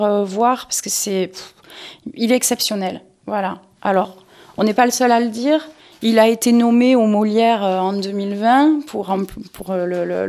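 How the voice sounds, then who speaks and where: A woman's voice: medium pitch, a little mellow. A woman presenting an event off the cuff as an organiser being interviewed, hesitant, often repeating words as she searches for the next. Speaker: cultural festival organiser and interview guest. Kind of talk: spontaneous spoken event presentation.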